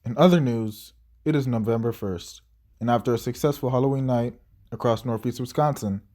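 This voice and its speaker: A man's voice: mid-range voice, brisk, dark tone, close to an everyday voice. A man playing a local TV news anchor in an audio drama, with a formal announcer delivery. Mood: composed. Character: local TV news anchor, male, composed then shaken.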